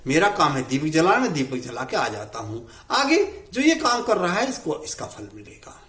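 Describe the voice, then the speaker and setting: A man's voice: a little high, very animated, moderate pace, pushed and bright, echoey room. A man voicing a devout, dutiful village priest who speaks in an earnest, aggrieved way. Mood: resigned, earnest. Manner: earnest, complaining.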